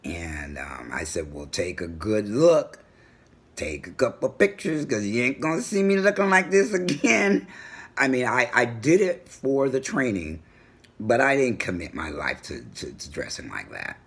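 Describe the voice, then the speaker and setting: A middle-aged man with a booming voice, talking assertively in a personal home vlog. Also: a little slow, husky. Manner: assertive, animated storytelling. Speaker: vlogger. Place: home room with a world map on the wall.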